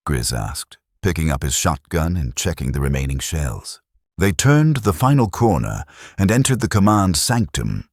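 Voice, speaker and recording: A man narrating a science fiction audiobook in a steady, dramatic storytelling manner, close to a studio mic. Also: very deep voice, normal speed, no room sound. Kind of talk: scripted narration.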